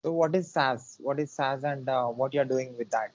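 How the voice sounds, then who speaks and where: A man from India hosting an interview over a video call, asking questions in a halting way full of fillers. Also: medium pitch. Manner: hesitant, halting, lots of uh fillers. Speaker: podcast host. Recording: dull lo-fi call audio.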